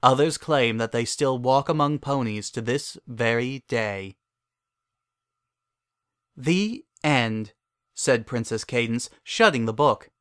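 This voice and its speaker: A man with a medium-pitched voice reads a fairy tale aloud. His storytelling is measured, formal and dramatic, and the recording is dry, with no room sound. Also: a bit bright, clear, resonant tone, no room sound. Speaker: narrator.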